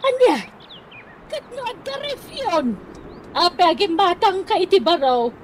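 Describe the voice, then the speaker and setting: A brash, nosy mother played by an actress exclaims indignantly in a radio comedy drama. Her voice is high, loud and very animated. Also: unhurried, a little hiss or hum, heavily acted.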